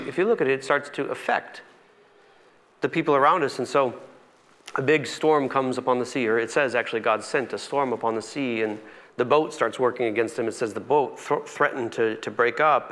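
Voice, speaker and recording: A man's voice: mid-range voice, fairly bright tone. A man preaching as a pastor in a conversational storytelling style, acting out dialogue and repeating phrases for emphasis.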